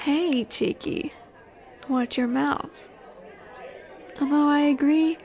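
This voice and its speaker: Woman acting a high-voiced, slow-teasing werewolf alpha girl. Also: steady tone, a little mellow. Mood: playfully dominant. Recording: bar crowd ambience behind voice. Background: bar chatter ambience.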